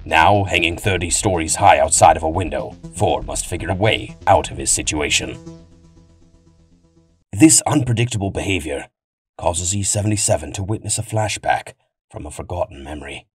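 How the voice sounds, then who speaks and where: A man's voice: sits low, expressive, normal speed. A man giving dramatic scripted storytelling narration, as the narrator of an audio drama. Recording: loud music behind.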